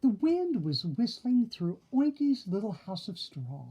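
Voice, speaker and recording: Slow, animated woman storyteller. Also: mid-range voice, husky, rough recording. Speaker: storybook reader. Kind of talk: expressive children's story narration.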